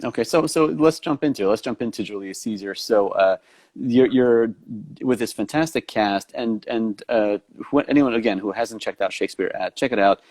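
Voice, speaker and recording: A man's voice: fairly low pitch, moderate pace, darkish tone, no echo. A man hosting an online interview show, talking with enthusiasm and often repeating words as he goes, over a video call. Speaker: talk show host. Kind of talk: casual interview chat.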